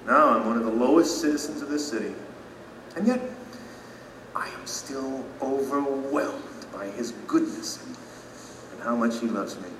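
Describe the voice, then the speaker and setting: An actor playing a humble, remorseful citizen in an audio drama, earnest and confessional, in an echoing hall. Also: male voice, medium pitch, recorded from a distance, noisy background, close to an everyday voice. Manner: earnest, confessional, thoughtful pauses. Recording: echoey hall, music behind.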